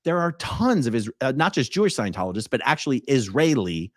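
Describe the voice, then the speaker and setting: A man's voice: medium pitch, very animated, quick pace, dry recording, studio quality. A man hosting a live stream, reading viewer messages and commenting in a casual, blunt, conversational way.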